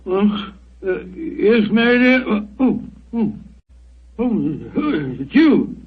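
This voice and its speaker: A man's voice: high voice, big pitch swings, slow pace, breathy edge, exaggerated voice. An actor playing an anxious, bluff male doctor companion in 1940s radio acting, flustered and stammering, over a dull old radio broadcast.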